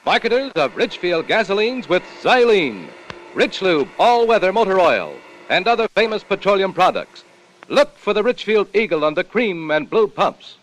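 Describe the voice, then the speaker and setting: A man's voice: high-pitched, animated, normal speed, a little hiss or hum, low-quality recording. A man reading scripted announcements as a 1950s radio announcer. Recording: old radio broadcast with music behind.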